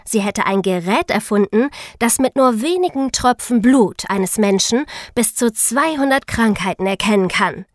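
A woman's voice: on the high side, no echo, clean studio sound. A young woman co-hosting a podcast, explaining things to young listeners in a casual, youthful way. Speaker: podcast host.

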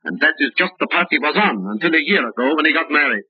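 On a muffled old radio recording, an older company president with a husky voice pitched a little high. He speaks formally and with heavy emphasis, exacting and quick to bristle but deeply earnest. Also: animated. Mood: earnest, insistent. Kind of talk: 1940s-50s radio drama acting.

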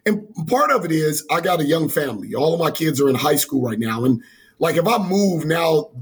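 Hoarse male college coach in his forties, husky and slightly high voice, earnest and rambling. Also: very animated, normal speed. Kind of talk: interview answers.

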